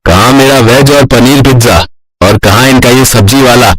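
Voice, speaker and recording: A man's voice: mid-range voice, steady tone, normal speed, fairly bright tone, dry recording, close to an everyday voice, low-quality recording. A man playing an arrogant, jealous, bullying male pizza vendor in a cartoon story. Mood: scornful, boastful. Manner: scornful, bullying. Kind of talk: Hindi cartoon story acting.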